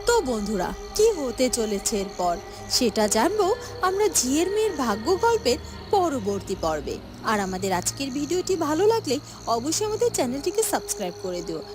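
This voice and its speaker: A woman's voice: high voice, wildly swooping pitch, brisk, music in the background. A woman narrator reading a scripted outro for a cartoon story, addressing viewers directly.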